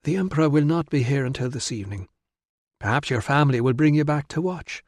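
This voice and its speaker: A man's voice: fairly high pitch, quick pace, darkish tone, dry recording, pitched above the performer's own voice, natural voice. A man narrator plays a firm, sceptical married woman in an audiobook. The delivery is curt and impatient, with a no-nonsense edge. The sound is dry and close. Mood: resigned, firm. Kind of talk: audiobook character voice.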